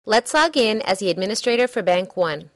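A woman reading a scripted software demo narration in a measured, instructional way. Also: medium pitch, lively intonation, dry recording, clean studio sound.

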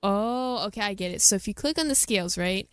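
A woman presents a game showcase in an informal, chatty way. Her voice sits at a middle pitch for a woman. Soft music plays behind her casual commentary. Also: lively intonation, fairly quick, smooth, no echo. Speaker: gaming video host.

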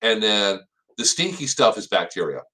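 A man's voice: on the low side, sing-song, moderate pace, bright, forceful tone, low-quality recording. A middle-aged man with an American accent, hosting a casual question-and-answer session as an expert. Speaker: host, extension plant expert. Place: small indoor office.

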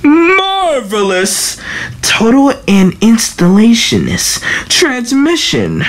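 A woman's voice: a little high, huge pitch swings, slow pace, pitched above the performer's own voice, exaggerated voice. A woman voicing a scheming older male mad scientist villain in a fan comic dub, gloating and theatrical.

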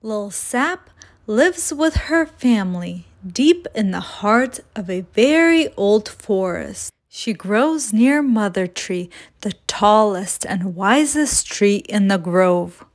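Slow-paced woman reading a children's story. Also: mid-range voice, animated. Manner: measured, deliberate storytelling. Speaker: children's storytime reader and host. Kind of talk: scripted read-aloud of a children's picture book.

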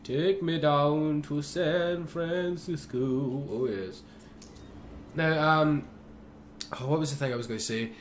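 A young man with a fairly high voice, talking chattily over a driving game. He gets excitable and swears casually. Faint engine noise hums under his voice. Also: takes it slowly. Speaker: gaming YouTuber. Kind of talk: casual gameplay commentary.

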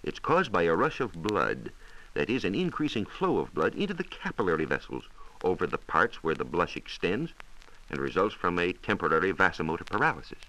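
An American man explaining science in a measured, formal way, as a reporter on a 1940s radio program. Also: deep voice, very animated, sharp tone, a little hiss or hum, low-quality recording. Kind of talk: 1940s radio science talk, part scripted answers. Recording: 1940s radio transcription disc.

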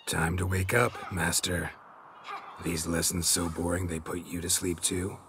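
An actor playing a dry, wry, battle-hardened monster hunter in a video game, deadpan and teasing. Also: male voice, low voice, unhurried. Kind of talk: video game cutscene acting. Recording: clear background noise.

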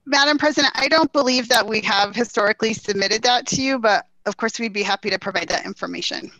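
A woman's voice: fairly high pitch, fast talker, bright, forceful tone, no echo, rough recording. A woman in her forties, a city official, formally explaining and answering questions over a headset on a video call. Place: indoor room at home or office.

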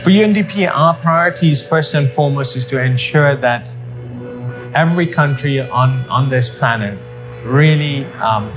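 A middle-aged man from Sri Lanka, an official, speaking in a measured way to camera with frequent ums and uhs. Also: medium pitch, music in the background. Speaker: development program official. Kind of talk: to-camera interview.

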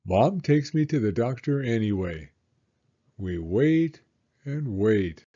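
An older man, a longtime teacher, reading a children's picture book aloud in a gentle, expressive storytelling voice. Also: medium pitch, takes it slowly, dull audio. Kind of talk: children's read-aloud narration.

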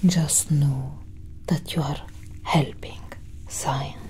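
ASMR roleplay alien scientist, played by a woman: female, low, dark whisper, calm, condescending, soothing. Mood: curious, soothing. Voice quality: whispery, breathy. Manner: slow, hushed, reassuring. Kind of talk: soft-spoken ASMR roleplay. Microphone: close ASMR microphone.

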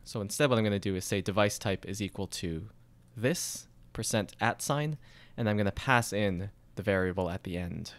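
A man explaining code step by step in a screencast tutorial, steady and methodical. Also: fairly low pitch, moderate pace, no room sound. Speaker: programming tutorial instructor. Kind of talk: screencast coding walkthrough.

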